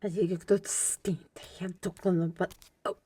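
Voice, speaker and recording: A woman playing a soft-spoken young woman of a fantasy realm in an audio drama. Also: lowish voice, little pitch movement, normal speed, husky.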